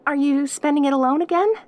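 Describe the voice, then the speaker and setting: Woman playing a high-pitched, perky, lonely demon girl. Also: fairly even intonation, moderate pace, dry recording, lo-fi sound, close to an everyday voice. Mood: shy, hesitant. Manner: cutesy, gushing, hesitant stammering. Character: perky, lonely, clingy caged demon girl, centuries old. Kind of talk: audio roleplay acting addressed to the listener.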